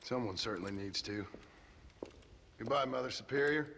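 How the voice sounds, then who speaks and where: An actor playing a weary, sardonic middle-aged gunslinger in a Western video game, dry and laconic with an American Western accent. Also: male voice, mid-range voice, moderate pace, gravelly, fairly bright tone, big-room sound, a little hiss or hum. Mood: resigned. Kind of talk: video game cutscene acting.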